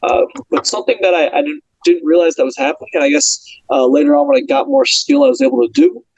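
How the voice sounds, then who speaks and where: A young man, a pro boxer, talking casually and upbeat in a podcast interview, in quick animated bursts. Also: extremely high pitch, creaky, no room sound, poor audio.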